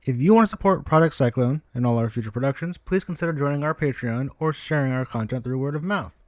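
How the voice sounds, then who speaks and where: A man reading a story aloud as scripted, expressive narration. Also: medium pitch, quick pace, no room sound. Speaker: podfic narrator, guest reader.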